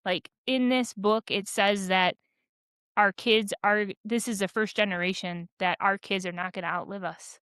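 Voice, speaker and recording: A podcast co-host, she rants with fired-up energy, rambling and restarting as she talks. Her voice sits at an ordinary pitch and sounds close and dry, with no room around it. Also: steady tone, moderate pace, a bit bright, clear, resonant tone, no room sound. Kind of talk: candid casual chat.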